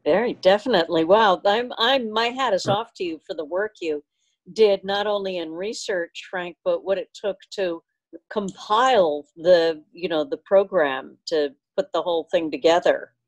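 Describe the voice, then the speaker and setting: A woman's voice: lowish voice, moderate pace, low-quality recording. A woman chatting casually with a group on a video call. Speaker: club member chatting in meeting.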